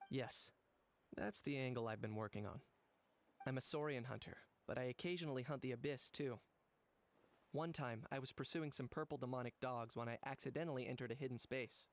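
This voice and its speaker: A man voicing a calm, businesslike young monster hunter in a video game, measured and matter-of-fact. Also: mid-range voice, lightly acted. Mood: explaining.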